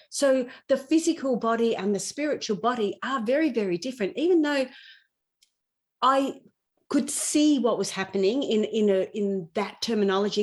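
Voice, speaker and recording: A middle-aged woman speaking as a podcast guest in an earnest, explanatory way, repeating phrases for emphasis as she shares in conversation. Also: medium pitch. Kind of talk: conversational personal storytelling and explanation.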